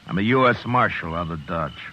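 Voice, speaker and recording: Casting: tough frontier lawman, very low American voice, stern, vintage radio western, dull sound with music. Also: animated, brisk, pushed and bright, a little hiss or hum. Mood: firm, demanding. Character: tough, stern US Marshal in the Old West. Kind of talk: 1950s radio western acting, first-person narration.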